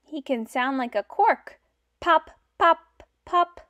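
Young woman, children's read-aloud teacher, very slow pace, simple deliberate phrasing, higher-pitched voice. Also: expressive, pushed and bright. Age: young adult.

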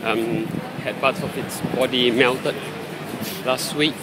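A man giving halting, unscripted commentary as he films outdoors on a handheld device in a busy city street. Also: medium pitch, steady tone. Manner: halting, searching for words. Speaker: amateur video maker filming a street scene. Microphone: handheld phone or camera, held by the speaker. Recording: noisy busy city street, traffic and crowd.

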